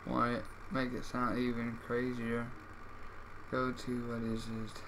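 A man giving a casual software tutorial in short, offhand step-by-step instructions. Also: medium pitch, monotone, measured pace. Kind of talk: casual screen-recorded tutorial. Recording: noisy home microphone screen recording.

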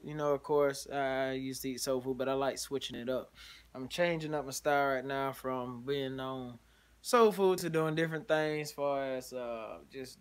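A man, likely in his thirties, casually talking through a home cooking vlog in a laid-back, rambling way, filmed on a phone held at arm's length in a small kitchen. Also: medium pitch. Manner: laid-back, rambling, lots of "you know what I'm saying". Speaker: home cook vlogger. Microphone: phone camera held at arm's length.

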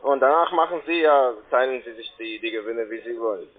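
A man working as a call centre agent, making a halting sales pitch with repeated words over the phone. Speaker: call centre agent selling trading investments. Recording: tinny narrowband phone line.

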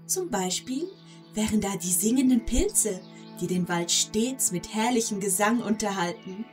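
A woman narrating a scripted fairy tale for children as storyteller. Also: medium pitch. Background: prominent background music.

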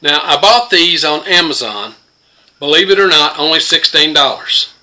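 A man's voice: a little high, animated, moderate pace, sharp tone. An older man in his home workshop, showing his tools in a plain-spoken, rambling way with many fillers. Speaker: homesteader and host. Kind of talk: casual workshop demonstration. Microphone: phone camera close to the workbench.

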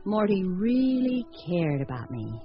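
A wry, swooping, chatty entertainer. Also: female voice, mid-range voice, big pitch swings, a little slow. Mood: wry musing. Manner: wry, fluttery, theatrical. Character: wry, chatty female entertainer musing on failed proposals. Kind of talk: radio drama acting with narrated asides. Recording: dull lo-fi radio sound, faint music.

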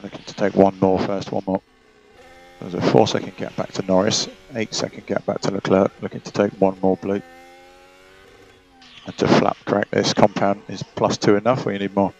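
Deep calm race engineer on radio, low flat voice with some gravel, repeats numbers. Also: male voice, moderate pace, highs cut off. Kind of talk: team radio instructions.

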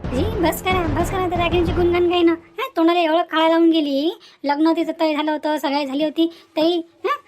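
A woman's voice: very high voice, steady tone, a little fast, darkish tone, soft background music. A woman voicing a spiteful, indignant young daughter-in-law in a cartoon family quarrel, scolding and repeating herself with rhetorical questions. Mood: scornful. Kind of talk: Marathi family cartoon dialogue.

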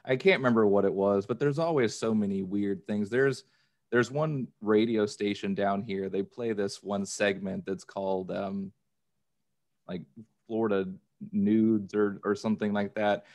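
A man's voice: fairly low pitch, steady tone, measured pace, darkish tone. An American man in easygoing, anecdotal casual conversation on a podcast. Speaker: podcast participant. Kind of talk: casual chat. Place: remote video call from a room indoors.

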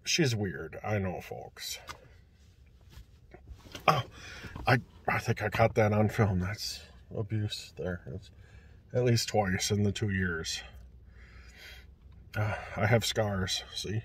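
Slow-talking man reviewing food in his car. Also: on the low side, a bit bright, a little hiss or hum. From Minnesota. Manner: chatty, folksy, joking about his wife. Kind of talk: casual food review vlog. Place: inside a parked car. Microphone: phone at arm's length.

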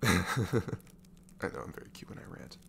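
A man playing an affectionate, dorky young boyfriend in an ASMR roleplay, speaking softly and breathily, often near a whisper, in a halting, tender way. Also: a little hiss or hum, natural voice. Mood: playful, affectionate.

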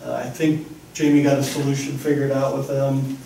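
A man's voice: medium pitch, level tone, normal speed, creaky, mellow. A man giving an economic development report at a council meeting, casual and rambling. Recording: echoey room from far off with faint noise.

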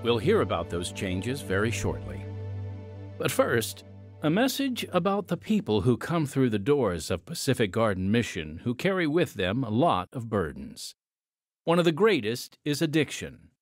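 A man's voice: mid-range voice, huge pitch swings, moderate pace, soft background music. A man reading a scripted message as a radio announcer.

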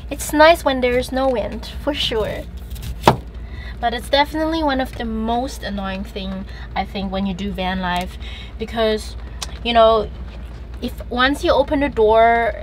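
A woman's voice: on the high side, background noise. Giggly young woman chatting in her van. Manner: chatty, giggly, self-conscious. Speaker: van-life vlogger. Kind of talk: casual vlog chat while cooking. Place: inside a camper van, rain on the roof.

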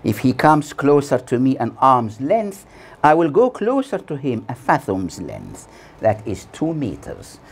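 An older man in his sixties preaches from a prepared text in a solemn manner. His pitch moves widely as he sets out each short phrase deliberately, leaving pauses between them. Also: wildly swooping pitch, slightly rough. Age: 60s. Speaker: preacher delivering a Friday sermon. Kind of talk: scripted sermon read from notes. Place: small plain room indoors. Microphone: lapel mic.

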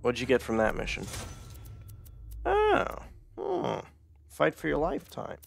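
Gravelly, animated joking male gamer. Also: a little high, a little slow, faint music behind. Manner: joking, swears freely. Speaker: gamer in a co-op session. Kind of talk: casual gaming chat.

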